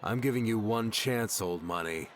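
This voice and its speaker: A man's voice: fairly low pitch, moderate pace, a faint crowd, slight background noise, natural voice. An actor playing a young, hardened man who defiantly guards his home, terse and intense, in an audiobook drama. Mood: grim, resolute.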